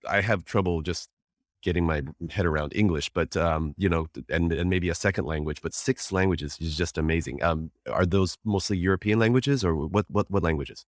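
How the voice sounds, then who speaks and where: An American man hosts an interview, friendly and enthusiastic, circling around his questions with many restarts. His voice sits very low. The recording is dry and close. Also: normal speed, dry recording. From United States. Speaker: podcast host, interviewer. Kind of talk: casual conversational interview.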